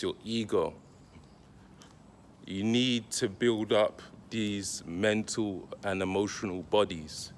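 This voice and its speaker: A man talking directly to his viewers, giving casual spiritual advice in a slow, deliberate, reflective way with pauses. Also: fairly low pitch, slight background noise. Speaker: spiritual content creator.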